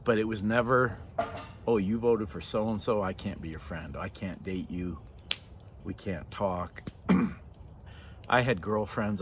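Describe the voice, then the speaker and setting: Low-voiced older man, casual and reflective. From born in Norway, moved to Canada as a child. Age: 50s to 60s. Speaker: vlogger. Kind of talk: casual reflective monologue to camera. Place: outdoors by a fire in a yard at night. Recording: noisy outdoor ambience.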